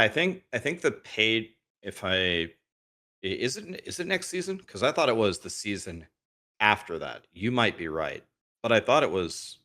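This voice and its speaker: A man who is a guest on a podcast, talking casually and frankly while his voice is going hoarse. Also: on the low side, a bit bright. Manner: frank, thinks aloud with restarts. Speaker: guest streamer.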